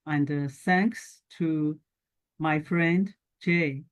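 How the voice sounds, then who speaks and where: A middle-aged woman with a Chinese accent talks as an interview guest. Her delivery is slow and halting, broken into short phrases with pauses between them. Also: low voice. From China. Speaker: guest, scientist and entrepreneur. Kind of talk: talk show interview, telling personal background.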